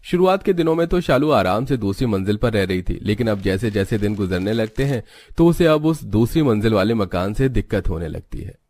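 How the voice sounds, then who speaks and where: A man reads a scripted cartoon story as its narrator. His voice sits on the low side, and he speaks as a storyteller. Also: lively intonation, fairly quick, few pauses, darkish tone.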